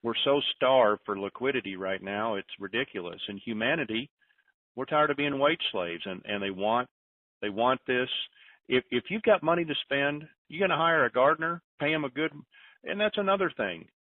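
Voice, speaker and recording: A man hosts a call-in conference in a folksy, informal style. His voice sits at a middle pitch and comes over a narrow phone line, a little away from the mic, with very little room sound. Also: moderate pace, no room sound, distant mic. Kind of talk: informal call-in talk with advice. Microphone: telephone conference line.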